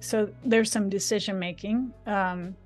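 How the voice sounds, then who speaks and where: Enthusiastic woman demoing software on a call. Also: medium pitch, steady tone, measured pace, faint music behind. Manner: chatty, enthusiastic. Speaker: guest designer demonstrating software. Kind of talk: live screen-share tutorial. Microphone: video call.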